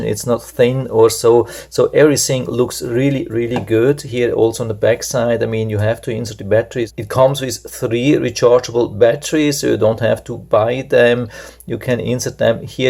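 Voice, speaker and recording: A man's voice: medium pitch, vocal fry, a little mellow. A man giving an unscripted hands-on product demonstration, explaining step by step in a repetitive way. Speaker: product reviewer.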